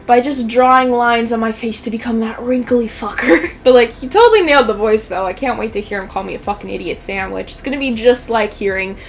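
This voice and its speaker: A young woman with a fairly high voice gives casual video commentary. She is slangy, sweary and sarcastic, with faint noise behind her. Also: a little fast, hardly any pauses. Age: young adult. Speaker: YouTube commentator.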